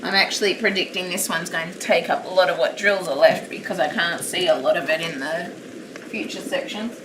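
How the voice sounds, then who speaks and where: A woman chatting casually while live-streaming a craft hobby from home, rambling and self-deprecating, with a naturally loud voice. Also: on the low side, a little fast. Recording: roomy, distant mic, steady background noise.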